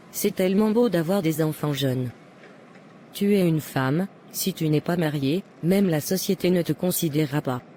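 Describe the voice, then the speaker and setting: A woman's voice: on the low side, mellow, lightly acted. A woman playing a meddling, self-satisfied middle-aged mother in a cartoon, with a patronising manner. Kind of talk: cartoon voice acting. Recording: faint background noise.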